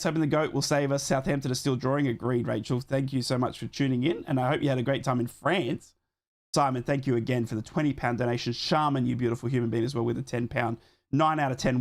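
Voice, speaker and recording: A man hosting a live football watchalong, chatting casually, reading out live scores and thanking viewers for donations, a bit sick and losing his voice. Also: mid-range voice.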